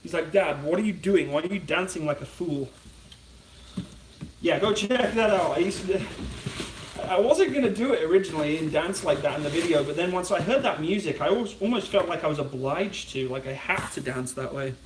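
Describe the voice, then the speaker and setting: A man's voice: fairly high pitch, fairly quick, far from the mic, slight background noise. British man chatting while cooking, echoey. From United Kingdom. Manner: chatty, reads out viewer comments and answers them. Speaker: channel host. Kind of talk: casual live-stream cooking chat. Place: home kitchen.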